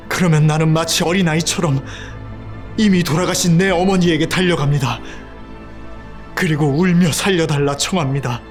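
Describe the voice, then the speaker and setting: Guy voicing a fiery young prince, kinda high voice, intense and defiant. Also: natural voice. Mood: anguished. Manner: intense, pleading then defiant. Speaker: voice actor. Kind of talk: audio drama acting.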